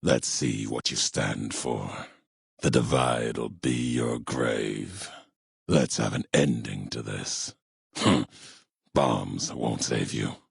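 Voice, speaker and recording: A haunted, vengeful wanderer from a video game. The voice is extremely low and thick with gravelly fry, worn husky at the edges. He speaks gravely in short, cryptic, measured phrases, in no hurry at all. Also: no room sound, clean studio sound, heavily acted. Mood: menacing, terse. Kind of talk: video game character dialogue, solemn monologue.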